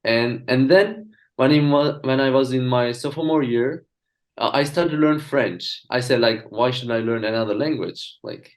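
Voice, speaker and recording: A young man from Turkey, a native speaker of Azerbaijani and Turkish, talking as an interview guest with hesitant pauses and fillers. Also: medium pitch. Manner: hesitant, many fillers and restarts. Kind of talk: casual video-call interview.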